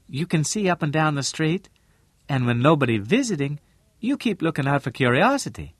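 A man voicing an earnest, shrewd woman who works in real estate, speaking in a halting, deliberate way in an audiobook. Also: dry recording, clean studio sound. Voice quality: low, mellow.

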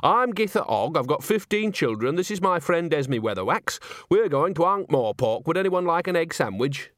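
Guy doing a chirpy old country granny, blunt and chatty, high and bouncy, dry sound. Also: very animated, moderate pace, fairly bright tone, no room sound. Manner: homely and rustic.

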